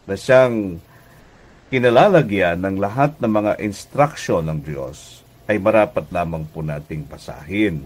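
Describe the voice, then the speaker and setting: A Filipino man preaching a devotional radio message as pastor and host, deliberate and emphatic, often checking in with listeners. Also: fairly low pitch. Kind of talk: devotional radio sermon in conversational teaching tone.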